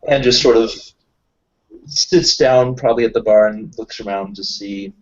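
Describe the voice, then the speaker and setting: A man's voice: lowish voice, moderate pace. A man in a tabletop roleplaying game, casually improvising narration for his character. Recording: muffled lo-fi voice recording.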